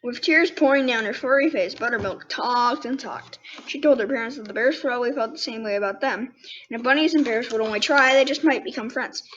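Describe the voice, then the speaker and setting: A young girl with a high voice reads a children's story aloud. She drops in candid, casual remarks as she goes. The recording is dull, with faint noise behind her. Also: brisk, a bit bright. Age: child, about 10. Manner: candid, self-interrupting commentary. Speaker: young host reading children's books.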